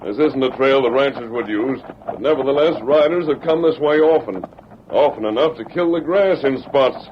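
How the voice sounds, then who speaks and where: An actor playing an earnest, resolute Western hero in an old radio serial, speaking in formal, precise sentences. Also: male voice, mid-range voice, normal speed, close to an everyday voice. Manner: formal, precise, declarative speech. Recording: dull, faintly noisy old radio recording.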